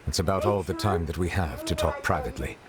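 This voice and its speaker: An actor playing a grave, courteous chief justice in a video game, speaking in formal, measured sentences. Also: male voice, sits low, over a noisy crowd, lightly acted. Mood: solemn. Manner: formal, measured, stately wording. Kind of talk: game dialogue acting.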